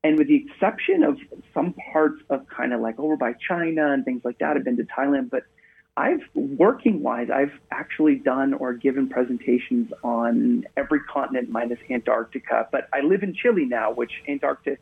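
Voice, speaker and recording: A man's voice: mid-range voice, expressive, moderate pace, vocal fry, breathy edge. A man from Maine, a guest speaker, chatting eagerly and telling rambling stories in an interview over a remote call. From Maine, USA. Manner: enthusiastic, rambling storyteller. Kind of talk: casual interview chat. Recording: tinny phone-line sound.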